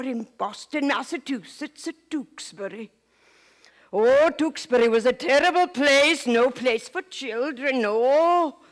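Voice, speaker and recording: Old pauper woman, slow, lively high voice, dramatic teller, woman acting. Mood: reminiscing. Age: older. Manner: dramatic storyteller, gasps and drops to whispers. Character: old sick Irish-sounding woman in a poorhouse. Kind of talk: theatrical one-woman historical monologue.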